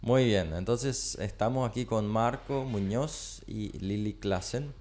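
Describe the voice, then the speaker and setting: A man's voice: lowish voice, takes it slowly, a little mellow. A man hosting a radio interview in a studio, asking short questions and filling in with frequent brief acknowledgements. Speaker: radio program host.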